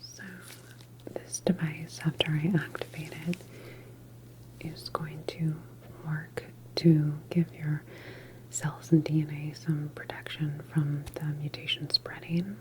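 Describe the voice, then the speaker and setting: A woman playing a calm, reassuring government doctor in a sci-fi ASMR roleplay, explaining things gently in a soft whisper. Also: sits low, slow, low-quality recording. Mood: calm, focused.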